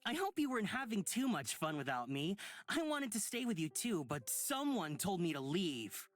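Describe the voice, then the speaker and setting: A woman's voice: medium pitch, big pitch swings, normal speed, soft background music, lightly acted. A woman voicing a mischievous, childish male troublemaker in a visual novel, teasing in a cheeky sing-song. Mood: playful pouting.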